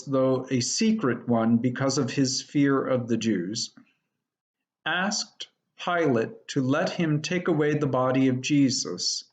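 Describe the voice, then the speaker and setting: Male lay reader, mid-pitched, very deliberate and slow, solemn scripture delivery. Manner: measured, deliberate.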